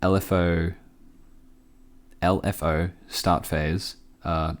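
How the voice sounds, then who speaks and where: Low-voiced man giving a casual tutorial. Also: flat delivery, slow pace. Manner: informal, hesitant, thinking aloud. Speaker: tutorial host.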